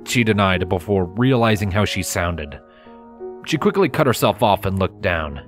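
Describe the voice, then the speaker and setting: A man narrating scripted fan fiction in a measured, dramatic storytelling manner. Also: deep voice, lively intonation, soft background music.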